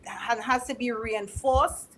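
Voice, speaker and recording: A woman, speaking in a slightly higher voice, talks earnestly and reflectively as an interview guest. She often circles back over her words while building a point. A faint hiss sits under the recording. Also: steady tone, takes it slowly. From Caribbean. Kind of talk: conversational interview.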